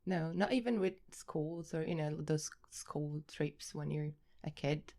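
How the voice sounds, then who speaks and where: A woman's voice: sits low, unhurried, vocal fry, warm tone. A woman in her late twenties with an Italian accent, a native Italian speaker, chatting casually as an interview guest and often rephrasing as she goes. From Italy. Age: late 20s.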